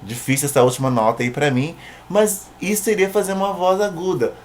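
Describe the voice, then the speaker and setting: A man's voice: a little high, brisk. A man with a Brazilian Portuguese accent narrating an informal singing tutorial in a conversational way, often checking in with short tag questions.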